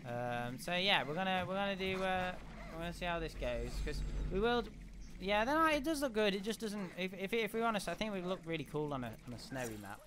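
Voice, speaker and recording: A young man hosting a casual game livestream. He is chatty and rambling, with a slightly croaky voice. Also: high-pitched, very animated, brisk. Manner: chatty, rambling, often restarts and hums. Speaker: gaming livestream host. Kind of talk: casual live commentary. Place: home room. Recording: audible background noise.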